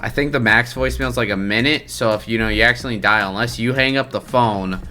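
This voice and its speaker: A man's voice: mid-range voice, quick pace, bright, forceful tone, slight background noise. A man hosting a reaction video, chatting in a casual, informal and joking way.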